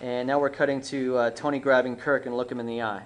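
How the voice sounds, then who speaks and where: A man's voice: mid-range voice, flat delivery, fast, lo-fi sound, slight background noise. A man reads stage directions from a script at a table read. His mid-range voice is plain and unpolished, and he corrects himself as he goes. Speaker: writer reading stage directions. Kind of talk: screenplay table read narration. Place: small dim indoor room.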